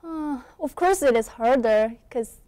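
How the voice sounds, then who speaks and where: A young woman from South Korea speaking English with a Korean accent, answering questions as a student guest in a small college TV studio. She talks in halting, simple phrases and laughs softly often. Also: high voice, lively intonation, no echo. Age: young adult. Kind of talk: studio interview. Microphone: lapel mic.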